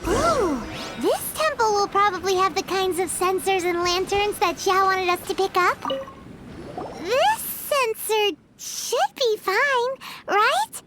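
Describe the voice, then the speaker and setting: A woman voicing a small, kind-hearted fairy companion in a video game, with a squeaky, childlike, exclamatory delivery. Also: expressive, takes it slowly, music in the background, exaggerated voice. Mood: chirpy, curious. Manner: exclamatory, refers to self in third person. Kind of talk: English video game voice acting.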